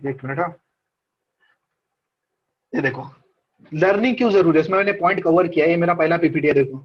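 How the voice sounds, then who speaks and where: A man teaching trading over a video call, his voice pitched high and a little dull through lo-fi call audio. He talks in a chatty way, mixing Hindi and English and repeating his points. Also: fairly quick. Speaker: trading mentor. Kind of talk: casual online trading class.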